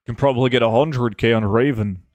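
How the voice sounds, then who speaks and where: A young man streaming a game, chatting casually and answering viewer questions in a relaxed, offhand way. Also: mid-range voice, brisk, no room sound, lo-fi sound. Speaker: gaming streamer reading chat. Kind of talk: casual live gameplay chat.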